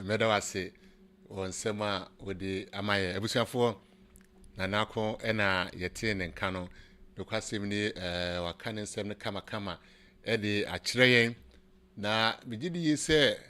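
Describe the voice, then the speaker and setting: A man's voice: lowish voice, bright, forceful tone, clear, resonant tone. A man, speaking in a rambling way. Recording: voice distant from the mic.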